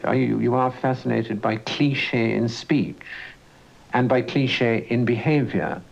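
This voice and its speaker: A man interviewing in a literary style, with halting, discursive phrasing and repetitions. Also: mid-range voice, steady tone, gravelly. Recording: dull, lo-fi archive radio recording.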